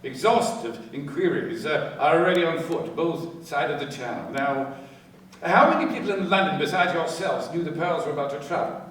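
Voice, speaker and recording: A man playing a methodical, cautious police inspector in a radio play, deliberate and given to thoughtful hums and pauses. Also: a little high, expressive, fairly bright tone. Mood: analytical, brisk. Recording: very echoey, distant, with background noise.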